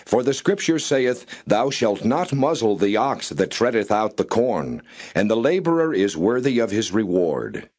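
A man with a fairly low voice reads scripture aloud as a narrator. His phrasing is formal and solemn, yet the pitch moves widely within each measured line. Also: very animated, a little mellow. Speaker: Bible narrator. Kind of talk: non-dramatized scripture reading.

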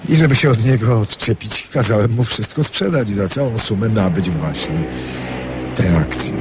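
A man's voice: lowish voice, normal speed, over music, natural voice. An actor playing an affable young businessman in a radio drama, boasting and chuckling as he tells stories. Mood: breezy, pleased. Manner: chuckling, storytelling, animated. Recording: radio play with murmuring crowd behind.

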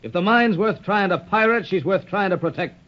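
A hearty high-pitched sea captain, muffled recording. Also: male voice, expressive, moderate pace. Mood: hearty, resolute. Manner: salty nautical talk, emphatic. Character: hearty, steady old-time sea captain. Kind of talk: 1930s radio serial acting. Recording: muffled vintage 1930s radio recording.

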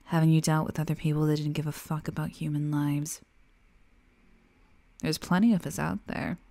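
A woman acts out a criminally insane asylum inmate for an audio roleplay. Her voice sits on the low side for a woman. She is theatrical and mocking, needling with sarcasm and showing no remorse at all. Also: mellow. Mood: cold, remorseless. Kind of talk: ASMR-style dramatic monologue roleplay.

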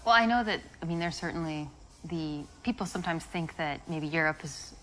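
A woman in her twenties playing a calm, earnest young woman who studies Russian, in a dating game in a café set. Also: low voice, brisk, faint music behind, poor audio. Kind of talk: scripted dating-game acting.

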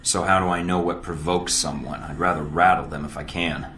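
An actor playing a cocky, impatient young secret agent in a video game, speaking in a terse, dry, smug way. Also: male voice, rumbling low, steady tone, brisk, pushed and bright, slight background noise. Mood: curious, smug. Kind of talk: video game cutscene acting. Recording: roomy echo with music behind.

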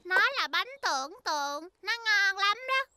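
A performer voicing a friendly, playful little girl zebra in a dubbed preschool cartoon, chirpy and excited. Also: very high-pitched, measured pace, heavy background noise. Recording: music behind the voice.

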